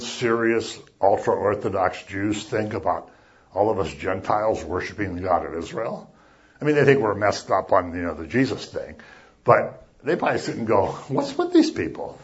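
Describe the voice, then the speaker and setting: A man preaching a sermon as a pastor, chatty and animated, acting out little voices as he talks. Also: fairly low pitch, normal speed, dull audio. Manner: conversational, animated, acts out voices.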